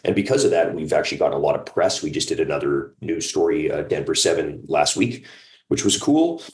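Very deep-voiced man in a roomy space. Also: animated, brisk. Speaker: audio drama co-creator. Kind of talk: interview chat. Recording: roomy, echoing sound.

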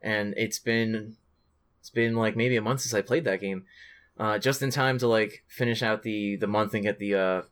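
A man co-hosting a podcast in casual, excitable chat about video games, with slangy, sweary talk. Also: fairly low pitch, normal speed. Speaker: podcast co-host.

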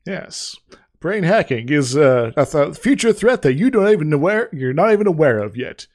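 A man co-hosting a casual podcast, getting over an illness with a sore throat, talking haltingly with many restarts and fillers. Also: a little high, sing-song, darkish tone. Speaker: podcast co-host.

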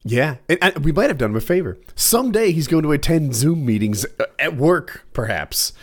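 A man's voice: a little high, sing-song, normal speed. A man on a podcast making wry, dry comments in casual chat.

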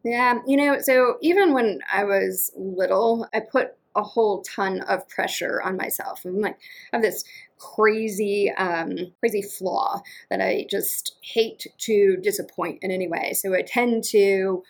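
A woman in her thirties from Western Massachusetts with a slight local accent, talking as an interview guest in an upbeat, enthusiastic way. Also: medium pitch. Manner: upbeat, enthusiastic, quick bursts. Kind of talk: casual interview.

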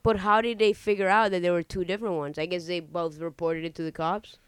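A man from Argentina with a very clear, smooth voice trades casual banter as a podcast co-host. He is blunt, questioning and sweary. The recording is close and dry. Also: sits high, level tone, a little fast, fairly bright tone, no room sound. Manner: informal, blunt, frequent swearing.

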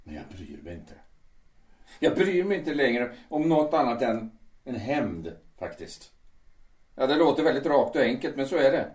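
A man voicing a bitter understudy who has turned to revenge, speaking with cold deliberation at a middling pitch. The voice sits back from the mic in an echoey room, so it carries a distant, roomy sound. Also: sing-song, darkish tone, close to an everyday voice. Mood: menacing calm. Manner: cold and deliberate, swelling into fervent outbursts. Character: bitter vengeful male understudy, calm and menacing. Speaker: understudy singer in a theatre. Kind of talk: amateur audio drama acting.

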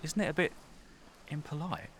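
An actor playing a hesitant, deferential soldier in an audio drama, polite and questioning. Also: male voice, a little high, normal speed, fairly bright tone, a little hiss or hum. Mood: doubtful, questioning.

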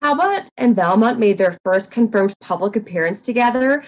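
A woman's voice: on the high side, animated, normal speed. A woman playing a gossipy, self-important guest co-host on a radio show in an audio comedy, earnest, insistent and a little pompous.

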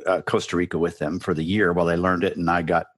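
A man with a very deep voice, recorded close and dry. He talks casually and wryly as an interview guest, often chuckling. His manner is self-deprecating and relaxed. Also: quick pace, no room sound. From Central America. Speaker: guest, business advisor.

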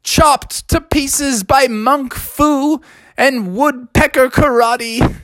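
A storyteller voicing a timid young bear cub who whines and pleads in a high voice. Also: drawn-out words, a bit bright, no room sound, studio quality, heavily acted. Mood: pleading. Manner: whiny, pleading.

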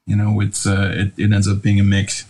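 A man's voice: fairly low pitch, monotone, normal speed, a little mellow. A man from the United States, a guest in a casual interview, talking in a rambling way with many restarts. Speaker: podcast guest, brewery owner.

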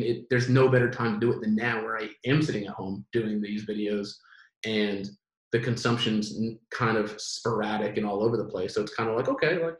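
Young male guest, late 20s, loose rambling talker with a bit of room echo. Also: on the low side. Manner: rambling, animated, many restarts. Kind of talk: casual conversation.

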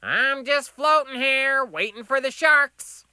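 High, bright, whiny diner cook. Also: male voice, expressive, slow pace, no echo, studio quality, full character voice. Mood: mock mournful.

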